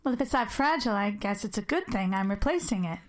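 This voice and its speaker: A woman's voice: medium pitch, lively intonation, fast talker, dry recording, close to an everyday voice. A woman acting in an audio drama as a sarcastic woman held for psychiatric evaluation. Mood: polite, friendly. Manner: dry, defiant sarcasm.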